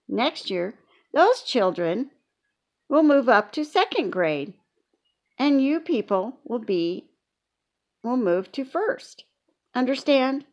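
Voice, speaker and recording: A woman playing a patient, measured schoolteacher. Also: a little high, full character voice. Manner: slow, measured, explaining to children. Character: patient adult female schoolteacher. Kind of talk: children's audiobook character voice.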